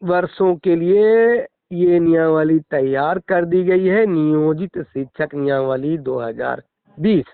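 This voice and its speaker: A man's voice: fairly high pitch, slow, creaky, smooth, no room sound. A middle-aged man with a Bihari accent, a schoolteacher arguing his position emphatically and formally in a phone interview. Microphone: phone call. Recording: narrow, tinny phone line.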